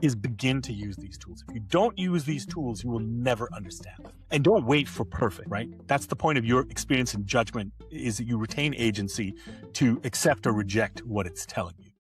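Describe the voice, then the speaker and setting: A man's voice: medium pitch, expressive, fairly quick, soft background music. A man in his later years who grew up in Hong Kong, answering interview questions thoughtfully with frequent restarts. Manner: thoughtful, many fillers and restarts. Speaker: podcast guest.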